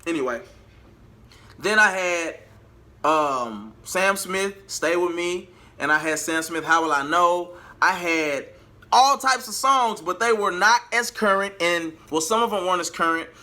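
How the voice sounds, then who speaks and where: A man vlogging in a casual, colloquial storytelling style, animated and acting out bits of dialogue as he goes. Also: sits high, measured pace. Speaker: vlogger and singer.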